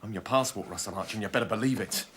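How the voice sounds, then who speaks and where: An actor playing a steady police officer held hostage, talking the gunman down in a firm, persuasive way. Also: racing, background noise, lightly acted. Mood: stern. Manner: firm, persuasive, low and urgent.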